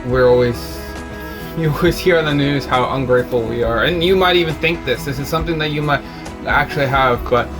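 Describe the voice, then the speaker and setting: A man who grew up in Russia, co-hosting a casual video chat in a relaxed, reflective way. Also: medium pitch, a little fast, over music. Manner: relaxed, reflective, talks about faith. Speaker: co-host.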